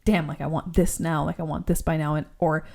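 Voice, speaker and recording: A woman's voice: deep voice, flat delivery, fairly quick, gravelly, darkish tone. Earnest rambling young woman podcaster. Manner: earnest, rambling, laughs often, uses "like" a lot. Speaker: podcast co-host. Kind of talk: candid faith-based podcast chat.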